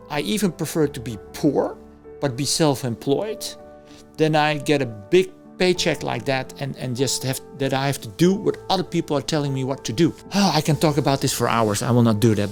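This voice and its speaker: Gravelly man in his fifties. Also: medium pitch. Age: 50s. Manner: earnest, advice-giving, pauses and restarts. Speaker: host reacting to a video. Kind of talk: reflective commentary.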